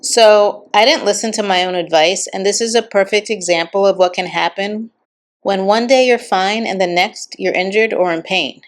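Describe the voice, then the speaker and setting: A woman with a slightly raspy voice talking candidly and chattily in a casual personal vlog. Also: medium pitch, normal speed. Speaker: vlogger.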